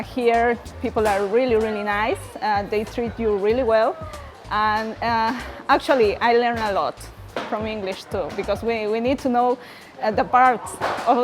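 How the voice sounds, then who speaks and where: A woman from Peru speaks English with a Spanish accent, her first language being Spanish. She pauses often with "uh" and "um" and builds her sentences in a non-native way. Music plays clearly beneath her voice. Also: mid-range voice. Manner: hesitant, many fillers, non-native phrasing. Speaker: trades program student. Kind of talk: testimonial interview. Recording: music playing underneath.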